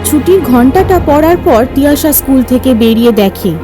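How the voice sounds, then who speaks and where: A woman's voice: high voice, expressive, normal speed, music in the background. A woman reading scripted narration for an audio story.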